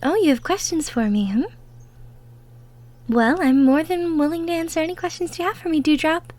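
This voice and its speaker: High-pitched, sweet young woodland woman, played by an actress, gentle and cheerful, folksy, for an intimate roleplay audio. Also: normal speed, close to an everyday voice. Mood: friendly, curious. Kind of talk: roleplay audio drama, intimate one-sided conversation.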